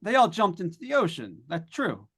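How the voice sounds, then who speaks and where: A man's voice: sits high, huge pitch swings, moderate pace, a bit bright, breathy edge, full character voice. An actor playing a breezy, joking male radio host on a ghost hunt, chatty and folksy in old-time radio drama style. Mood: joking, nervy. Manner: chatty, folksy showman delivery. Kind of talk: 1940s live radio drama acting.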